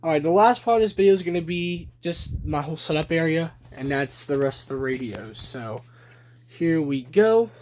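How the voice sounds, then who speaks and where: A young adult man giving a casual show-and-tell narration on a phone at home, listing items deliberately in short phrases. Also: fairly high pitch, expressive, darkish tone. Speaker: hobby collector presenting a video. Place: small room at home. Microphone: handheld phone behind the camera. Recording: noisy handheld home video with clicking.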